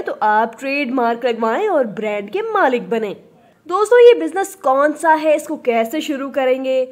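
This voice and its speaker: A woman reading a scripted business how-to voice-over in an upbeat, step-by-step instructive way. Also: sits high. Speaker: business video narrator.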